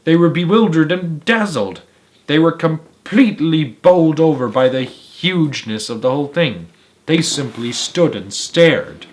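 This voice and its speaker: Male read-aloud narrator for a children's book: mid-pitched, dramatic, emphatic. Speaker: storyteller, host of a read-aloud series. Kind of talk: children's audiobook-style narration.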